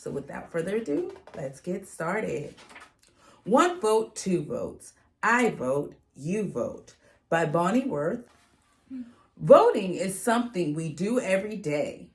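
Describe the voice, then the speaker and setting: A middle-aged American woman, an educator, reading a children's book aloud and explaining it in a deliberate, instructive way. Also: fairly low pitch, darkish tone. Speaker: educator and host. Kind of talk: children's picture book read-aloud with teaching.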